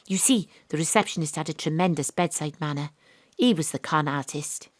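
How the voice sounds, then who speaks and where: A woman's voice: sits low, brisk, dry recording, studio quality. An actress playing a wry, self-regarding detective, telling her own story in an audio drama. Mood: smug, relaxed. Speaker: actor narrating an audio drama. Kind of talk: first-person dramatic narration.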